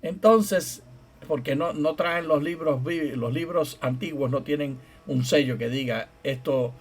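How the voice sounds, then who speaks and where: A middle-aged man with a husky, slightly breathy voice co-hosts a conversational Bible study program. He explains scholarly points at length. He gently teases his co-host and pulls the discussion back on track. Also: fairly high pitch, a little slow. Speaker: co-host, theologian and professor.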